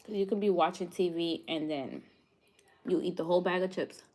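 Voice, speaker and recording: A woman's voice: fairly low pitch, steady tone, a little fast, darkish tone. A woman from New York hosting a live cooking stream, chatting casually with viewers and laughing often. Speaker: cooking show host.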